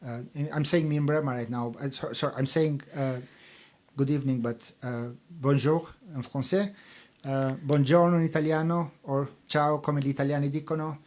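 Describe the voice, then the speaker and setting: Hesitant Albanian-native man, measured. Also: on the high side, fairly even intonation, a little mellow. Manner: measured, hesitant with many fillers. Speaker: charity chief executive. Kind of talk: radio interview answers.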